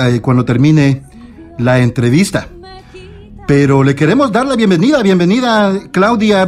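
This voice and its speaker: A man's voice: on the high side, a little mellow. A man hosting a Spanish-language radio show, interviewing a guest with many fillers and restarts. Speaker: radio host.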